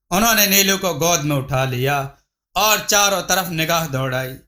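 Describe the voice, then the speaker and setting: Slow, high-pitched man narrating a story. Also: sharp tone. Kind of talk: scripted Hindi moral-story narration.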